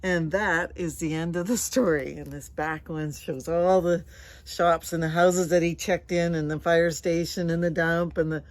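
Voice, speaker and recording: A woman reading a storybook aloud to children, gentle and conversational, with chatty, questioning asides. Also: fairly low pitch, fairly even intonation, normal speed. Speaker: storybook reader.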